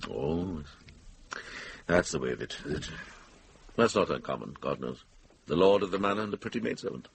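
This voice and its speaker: An actor playing a shrewd, brisk middle-aged monk investigator in a radio drama, measured and direct. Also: male voice, a bass voice, expressive, creaky, a little hiss or hum, full character voice. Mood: probing. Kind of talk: British radio drama acting.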